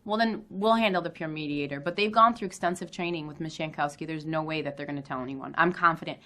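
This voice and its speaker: A woman acting as a patient, firm school counselor talks in a measured, coaxing manner. It is an amateur dramatization. The voice sits away from the microphone in an echoey room. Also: deep voice, a bit bright, slight background noise. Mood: reassuring. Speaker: school staff member counseling a student. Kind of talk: amateur acted role-play dramatization. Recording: roomy echo, distant microphone.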